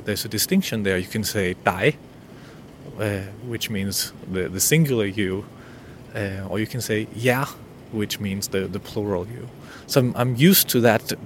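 A man's voice: lowish voice, a little hiss or hum. A man whose first language is Danish hosts an unscripted solo podcast, talking in a hesitant, intimate way with many restarts. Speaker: podcast host.

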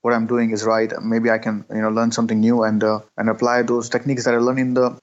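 A man in his thirties from Pakistan, a guest answering interview questions with many pauses, fillers and restarts. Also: medium pitch, completely flat delivery. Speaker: guest, entrepreneur. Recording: dull lo-fi sound.

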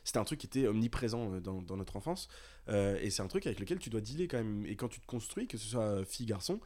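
A man's voice: fairly low pitch, animated, fast, a little mellow. A man in his twenties from the south of France, co-hosting a podcast in a casual, slangy, rambling conversation. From south of France (Marseille area). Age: 20s. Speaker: podcast co-host.

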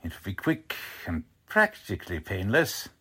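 Man acting a husky, menacing Nazi spy commander. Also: sits low, huge pitch swings, pushed and bright, heavily acted. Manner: deliberate, commanding. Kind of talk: 1940s radio drama acting.

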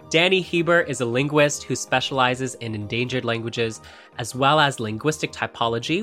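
A young man from the Philippines hosts a podcast, chatty and upbeat. His voice is bright and forward, with medium pitch. Faint guitar music sits quietly behind him. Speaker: podcast host. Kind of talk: casual podcast chat.

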